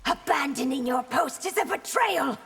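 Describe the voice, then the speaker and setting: An actress playing a proud, commanding young empress in a fantasy video game, with an imperious, formal manner. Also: female voice, high-pitched, lively intonation, normal speed, fairly bright tone, soft background music. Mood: angry, commanding. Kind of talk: video game dialogue acting. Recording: long hall-like reverb.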